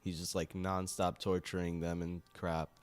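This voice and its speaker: A man with a low-pitched voice chats casually as a co-host. He is laid-back and keeps his remarks short and agreeable. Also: level tone, moderate pace. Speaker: podcast co-host. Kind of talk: casual chat.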